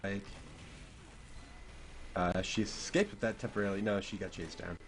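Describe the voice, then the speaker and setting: A man's voice: low voice, fairly even intonation, normal speed, a little hiss or hum. A young man commenting on a live video game match in an easygoing way. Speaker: esports caster.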